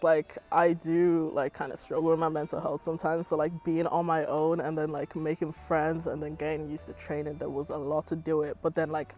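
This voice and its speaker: A young Irish woman, an athlete, chatting casually about her running, full of "like" and lively retellings. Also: low voice. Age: young adult. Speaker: athlete interviewee. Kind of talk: casual interview answers.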